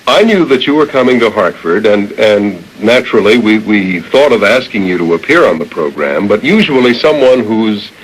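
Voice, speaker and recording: A man's voice: lowish voice, very animated, moderate pace, few pauses, poor audio. A man hosting a radio interview in a conversational style. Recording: old radio tape, faint hiss.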